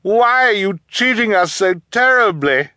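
A man's voice: mid-range voice, very animated, normal speed, fairly bright tone, no room sound, studio quality, pitched above the performer's own voice. A man voicing an earnest, protective teenage orphan girl with a pleading, emphatic delivery in a storybook. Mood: horrified, indignant.

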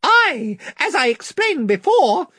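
Brief: high, piping storybook mouse knight, courtly and unbending. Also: sing-song, slow pace, bright, forceful tone, dry recording, heavily acted. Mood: solemn, dutiful. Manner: formal, solemnly polite.

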